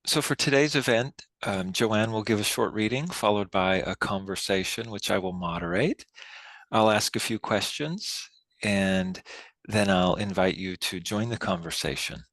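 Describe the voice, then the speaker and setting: A man hosting and moderating a literary event, giving a prepared introduction in a formal, measured way. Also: fairly low pitch, fairly bright tone, no room sound. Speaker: host and moderator of a poetry reading. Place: online video event.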